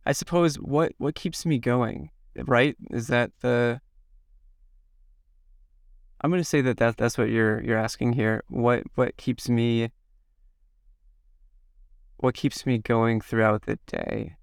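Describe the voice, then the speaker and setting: A man from the Midwest in his thirties, slightly hoarse from a cold, answering interview questions to himself in a slow, halting, reflective way. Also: mid-range voice, fairly even intonation, no echo, clean studio sound. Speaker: podcast guest. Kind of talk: self-interview.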